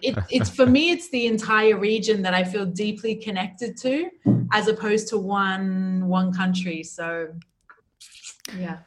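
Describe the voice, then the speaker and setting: A woman's voice: mid-range voice, unhurried. Chatty woman with a faint Australian accent. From New Zealand-born, raised in Australia. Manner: chatty, animated, many "like"s and "you know"s. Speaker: guest. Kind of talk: casual interview chat.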